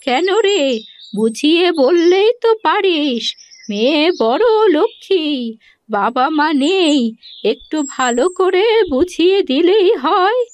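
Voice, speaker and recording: Plaintive, slow-talking old village mother-in-law. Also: very high voice, lively intonation, slight background noise, exaggerated voice. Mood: coaxing. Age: older. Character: elderly village mother-in-law, weary and lamenting. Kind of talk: cartoon voice acting.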